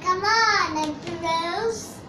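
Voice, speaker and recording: A young child hosting a casual chat, speaking in simple, halting, childlike phrases. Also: very high voice. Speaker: child host. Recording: roomy, distant mic, clear background noise.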